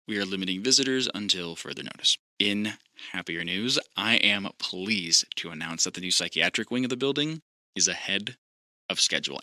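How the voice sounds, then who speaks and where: Guy voicing a hospital boss reading a stiff statement, low voice, super bright and dry, audio drama. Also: no echo, full character voice. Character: smooth male hospital administrator giving statement. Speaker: hospital administrator.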